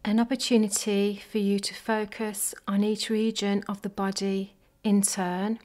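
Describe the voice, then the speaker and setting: A woman leading a guided body scan meditation in a soft, gentle, measured way. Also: medium pitch, flat delivery. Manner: gentle, measured, unhurried phrasing with pauses.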